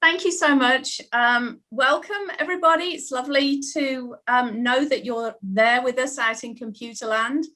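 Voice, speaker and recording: A woman's voice: sits high, normal speed, hardly any pauses. Chatty British woman on a video call. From United Kingdom. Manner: warm, chatty, many ums. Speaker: ballet school centre principal. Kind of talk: panel self-introduction on video call.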